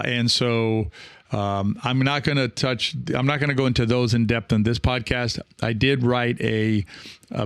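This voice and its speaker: Guy doing a reflective solo podcast, creaky voice, really dry close sound, stops and restarts, keeps checking you agree. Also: on the low side, dry recording. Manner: halting, self-correcting, often seeking agreement with "right?". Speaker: podcast host.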